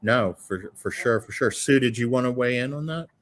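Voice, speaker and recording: A man's voice: medium pitch, normal speed. A man with a mid-range voice moderates a panel in a casual, easygoing manner. He affirms speakers and passes questions along. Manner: casual, affirming, repeats "for sure".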